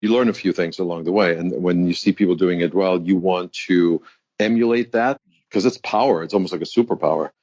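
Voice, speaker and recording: Man, deep voice, dull lo-fi sound, articulate guest. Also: fairly quick, a little mellow, no echo. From Germany. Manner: articulate, reflective, storytelling. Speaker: guest, executive coach. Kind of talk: interview conversation.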